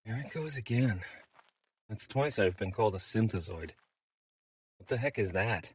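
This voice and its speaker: A man's voice: lowish voice, lively intonation, moderate pace, breathy edge. A man playing a modest, earnest android adventurer in an audio drama, speaking plainly and a little hesitantly. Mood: puzzled, indignant.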